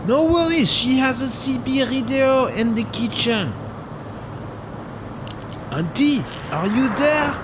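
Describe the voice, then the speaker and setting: A man's voice: very high voice, expressive, slow pace, pauses often, exaggerated voice. A man playing a friendly, philosophical taxi driver in a radio drama, English. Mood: helpful. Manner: non-native English, earnest, gently musing. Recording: clearly noisy street and car ambience.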